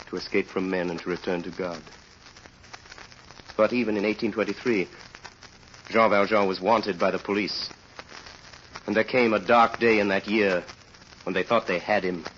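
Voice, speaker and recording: An actor as a solemn storyteller, narrating a vintage radio drama in a measured, dramatic way. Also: male voice, lowish voice, a bit bright, slight background noise. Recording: muffled old radio recording.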